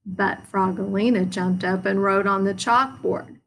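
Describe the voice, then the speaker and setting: A woman's voice: mid-range voice, steady tone, unhurried, a bit bright, slight background noise, rough recording. A woman, a school principal, narrating a children's storybook aloud.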